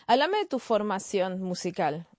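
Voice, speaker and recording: A woman's voice: mid-range voice, big pitch swings, measured pace, darkish tone, dry recording, clean studio sound. A woman hosting a conversational music interview. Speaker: radio interview host.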